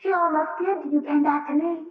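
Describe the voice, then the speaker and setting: A woman's voice: high-pitched, steady tone, fast talker, lightly acted. An actress playing a young, possessive, scheming woman in a vintage radio drama, melodramatic and insistent. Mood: desperate, defiant. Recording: noisy muffled old radio recording, distant.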